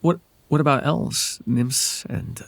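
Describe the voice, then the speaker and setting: A man voicing a whimsical, softly possessive male fairy in an intimate, hesitant, conversational ASMR roleplay. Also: mid-range voice, takes it slowly, gravelly, no echo. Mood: gently curious.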